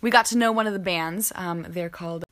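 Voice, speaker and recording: A woman's voice: on the low side, brisk, fairly bright tone. A woman hosting a casual podcast interview, often chiming in with short, agreeable replies.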